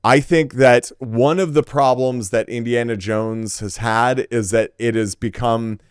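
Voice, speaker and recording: A man's voice: mid-range voice, steady tone, measured pace, smooth, dry recording, clean studio sound. A man co-hosting a podcast, giving his opinions in casual, emphatic talk, repeating phrases for stress. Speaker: podcast co-host.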